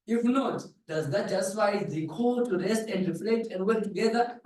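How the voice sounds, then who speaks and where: A Zimbabwean man delivers a public address in a slightly high voice. He builds his case through rhetorical questions to the listeners. The sound is echoey, with the microphone some way off. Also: moderate pace, a little mellow. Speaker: pastor giving a presentation. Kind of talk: public address in a dialogue forum. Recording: echoey room, distant microphone.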